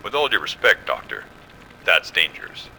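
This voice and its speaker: Stern, doubting general played by a man, low and very forceful, blunt questions, audio drama with faint ambient noise. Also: huge pitch swings, moderate pace, creaky, full character voice, rough recording. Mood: skeptical. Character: skeptical, stern military general.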